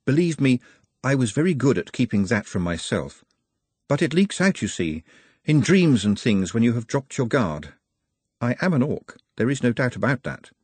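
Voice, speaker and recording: A man voicing an earnest, polite, scholarly orc in a storybook, with formal, measured phrasing. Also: medium pitch, very animated, a little mellow, husky, no echo, pitched above the performer's own voice. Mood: apologetic, confessional.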